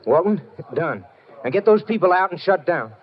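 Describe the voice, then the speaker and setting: Higher-voiced, shifty small-town deputy. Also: male voice, big pitch swings, moderate pace. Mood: urgent, conspiring. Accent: American. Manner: folksy, evasive, curt. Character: shifty, defensive small-town deputy hiding his guilt. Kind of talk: 1950s radio drama acting. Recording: muffled, noisy vintage radio recording.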